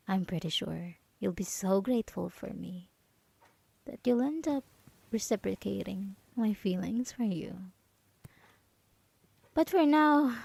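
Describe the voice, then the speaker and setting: A woman playing a smug, possessive, manipulative yandere roommate in an ASMR roleplay, taunting deliberately and at times slipping into a whisper. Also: medium pitch, gravelly, mellow, no echo, full character voice. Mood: possessive, certain. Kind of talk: ASMR roleplay monologue, acted.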